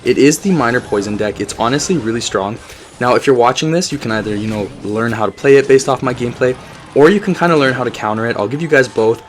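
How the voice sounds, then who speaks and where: A slightly hoarse man with a cold, giving chatty gameplay commentary and tips with jokey asides. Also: medium pitch, fast, a little hiss or hum. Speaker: gaming video creator.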